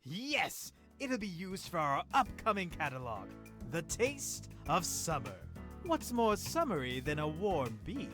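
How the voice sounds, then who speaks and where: An actor playing a brisk, effusive photographer in a story game, commanding and expressive, over music. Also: medium pitch. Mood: businesslike. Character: brisk, effusive fashion photographer directing a shoot.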